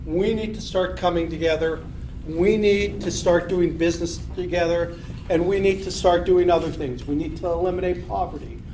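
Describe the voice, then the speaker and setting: A man's voice: sits high, fairly even intonation, moderate pace, mellow. A man in his fifties, a city manager from southern Colorado, giving an earnest, unamplified speech at a meeting, with repeated rallying phrases and frequent fillers. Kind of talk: public speech to a business meeting. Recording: echoey room, speaker far from mic, faint hiss.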